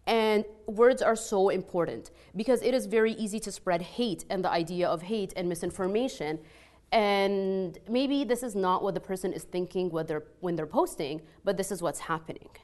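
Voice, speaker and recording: A woman's voice: mid-range voice, moderate pace, a little mellow. An Arabic-speaking woman from Egypt with a Canadian-influenced accent, speaking personally and earnestly on a panel, peppering her talk with "like" and checking in with "right?". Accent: Canadian-influenced with an Egyptian background. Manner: conversational and earnest, frequent 'like' and tag questions. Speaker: panel speaker, peace scholar. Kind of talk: personal testimony at a panel discussion.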